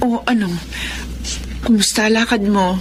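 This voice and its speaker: A woman's voice: mid-range voice, fairly even intonation, unhurried, close to an everyday voice. A woman playing a kindly, hopeful older mother, welcoming and emotional, in a Filipino radio drama.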